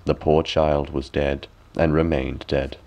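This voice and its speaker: A man's voice: extremely low pitch, flat delivery, a little slow, a little mellow. A man reading a fairy tale as a bedtime story, with dramatic, careful phrasing.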